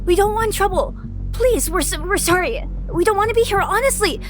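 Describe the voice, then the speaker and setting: A small, timid young looter in a fantasy audio drama. The voice is extremely high and swooping, slow and pleading. Soft music sits behind it. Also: female voice, lively intonation. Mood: frightened, pleading. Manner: apologetic, pleading.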